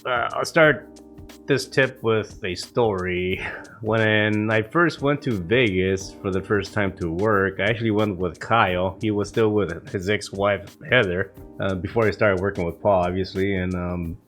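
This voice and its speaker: A man's voice: lowish voice, unhurried, fairly bright tone, soft background music. A man with a bit of a cold, talking to camera in a casual, rambling vlog, swearing freely and full of fillers. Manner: rambling, profane, many fillers. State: coming down with a cold. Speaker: vlog host. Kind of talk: casual morning vlog talk. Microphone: camera mic.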